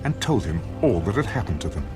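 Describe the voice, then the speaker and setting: A low-voiced man narrates scripture as solemn audio theater. His delivery is very varied in pitch and scripted, with prominent music playing behind him. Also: very animated, fast talker. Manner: solemn storytelling. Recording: loud music bed.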